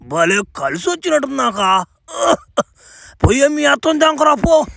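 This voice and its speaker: Bossy comic king played by a man, very high pitch, sharp tone, crude bluster, mock-formal, cartoon dub. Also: big pitch swings, measured pace, vocal fry, no echo, full character voice. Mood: gruff, mocking.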